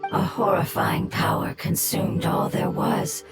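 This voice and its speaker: A woman voicing a gentle ancient nonhuman survivor in a video game, speaking solemnly and formally in a breathy, whispery voice. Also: fairly low pitch, steady tone, close to an everyday voice. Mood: solemn, sorrowful. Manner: solemn, measured, formal storytelling. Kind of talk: scripted video game dialogue. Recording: game audio under loud music.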